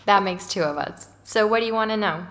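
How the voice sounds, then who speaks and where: An actress plays an inquisitive young woman researcher in an audio drama. Her voice sits at a medium pitch, earnest and full of wonder, with a natural delivery. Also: brisk, fairly bright tone, lightly acted. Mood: curious.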